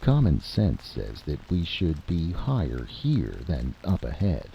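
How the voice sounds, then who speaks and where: A man acts a blunt, skeptical adventurer in a story. He argues matter-of-factly in a low, animated voice over a dull, faintly noisy recording. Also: takes it slowly, vocal fry, heavily acted. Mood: reasoning. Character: skeptical male adventurer, blunt, argumentative and practical.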